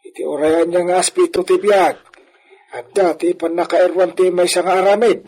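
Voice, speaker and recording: An actor playing a humble old village fruit carrier in a radio drama, husky and folksy with frequent exclamations. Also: male voice, sits high, fairly even intonation, normal speed, darkish tone, low-quality recording. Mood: humble, resigned.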